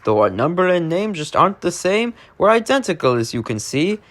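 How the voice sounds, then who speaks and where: A man's voice: high voice, very animated, a little fast, a bit bright. Man voicing a cheerful twin steam engine. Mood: cheerful, fond. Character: cheerful Scottish twin tank engine, fond of brother.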